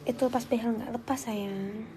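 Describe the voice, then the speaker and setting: A woman's voice: fairly high pitch, steady tone, moderate pace, noisy background. A young woman with a voice congested by flu, chatting casually and informally on a livestream as she answers viewers. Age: young adult. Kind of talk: casual chat.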